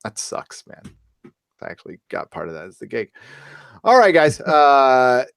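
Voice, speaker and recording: A man's voice: medium pitch, wildly swooping pitch, moderate pace, gravelly, slight background noise. A man hosting a live podcast in casual chat, rambling and joking, with some swearing. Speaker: podcast host.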